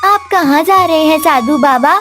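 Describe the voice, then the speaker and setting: Cartoon innocent little girl played by a woman, high, trusting and childlike. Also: normal speed, over music, lightly acted. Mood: trusting, unsure. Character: sweet innocent little girl, clay doll come alive. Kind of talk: cartoon story dialogue.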